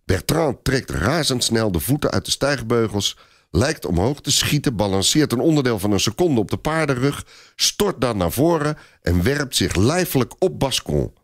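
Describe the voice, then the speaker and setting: A man's voice: medium pitch, sing-song, normal speed. A man narrating a scripted adventure story in a theatrical, suspenseful manner.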